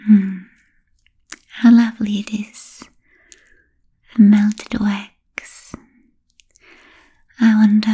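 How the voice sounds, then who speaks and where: An ancient, genteel vampire played by a woman whispers in an ASMR roleplay. The delivery is extremely slow and almost monotone, with a very breathy, voiceless softness. The phrasing is formal and deliberate, soothing yet politely predatory. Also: mid-range voice, poor audio. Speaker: ASMR performer.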